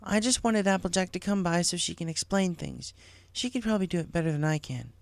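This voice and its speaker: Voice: medium pitch, brisk, no room sound, voice pushed up, lightly acted. A performer voicing a concerned, responsible female pony schoolteacher in a story reading. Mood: reassuring.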